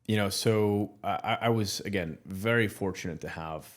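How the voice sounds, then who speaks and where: A man in his thirties from Morocco, speaking as a podcast guest in a low voice. He talks candidly and bluntly, restarting his sentences and leaning on fillers as he goes. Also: normal speed. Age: 30s. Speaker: guest entrepreneur. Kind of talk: casual podcast interview.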